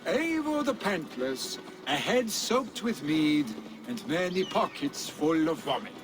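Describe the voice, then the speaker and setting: An actor playing a carefree, boastful young Viking warrior, the son of a jarl, with a teasing, jovial manner. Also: male voice, a little high, big pitch swings, slow pace. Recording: game cutscene with ambient noise.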